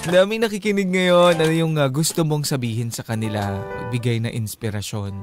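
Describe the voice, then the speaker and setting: A man's voice: on the high side, brisk, music in the background. A man with a Filipino accent hosting a casual radio interview. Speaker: radio host.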